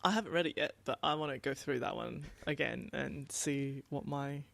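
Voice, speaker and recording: A woman's voice: extremely low pitch, moderate pace, gravelly, dry recording. A woman in her thirties co-hosting a podcast in casual chat. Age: 30s. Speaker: podcast co-host.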